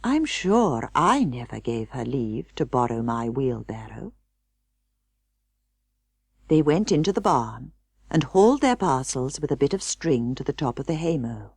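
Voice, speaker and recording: Low, expressive British woman storyteller. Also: unhurried, creaky, slightly rough, no room sound. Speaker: audiobook narrator. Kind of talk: scripted children's storybook narration.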